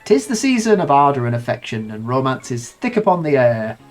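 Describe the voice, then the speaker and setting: A man's voice: mid-range voice, big pitch swings, a little fast, soft background music, close to an everyday voice. A man reading a flowery romantic noblewoman. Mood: grandiose. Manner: flowery, theatrical read-aloud speech. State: nasal from a bad cold. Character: grand, romantic noblewoman event host.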